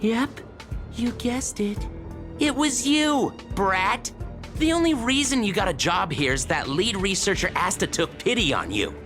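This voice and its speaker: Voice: medium pitch, measured pace. An actor voicing a chatty, weary, teasing researcher in a video game, with sighs and muttered asides. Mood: gossipy, teasing. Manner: expressive, sighing, muttering asides. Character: chatty, weary, teasing station researcher, a grumbling office senior. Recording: studio voice over game music.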